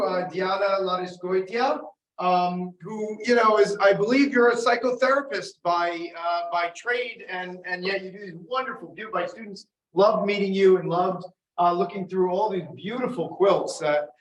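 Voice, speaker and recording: High-voiced older man hosting in an echoey room. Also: normal speed. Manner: warm, rambling, thanks guests. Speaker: panel host, professor. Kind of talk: hosting a panel discussion. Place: exhibition gallery. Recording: roomy gallery echo.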